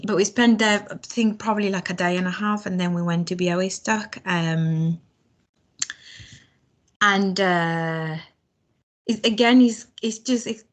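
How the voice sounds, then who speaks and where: Guest voice: young woman, unhurried, lowish voice, halting speech full of ums. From Spain. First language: Spanish. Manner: hesitant, many fillers and restarts. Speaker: language student guest. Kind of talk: casual podcast conversation.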